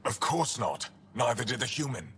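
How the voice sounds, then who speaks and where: An actor playing a stern, guarded alien resistance commander in a video game, gruff and curt. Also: male voice, medium pitch, big pitch swings, normal speed, fairly bright tone, heavily acted. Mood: defensive. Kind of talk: dramatic video game acting. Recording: long echoing reverb.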